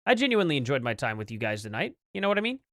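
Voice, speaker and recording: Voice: medium pitch, big pitch swings, racing, a bit bright, no echo, studio quality. An American livestream host chatting casually and informally to viewers, rambling along and reacting to things. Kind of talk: casual livestream chat, reacting to clips and news.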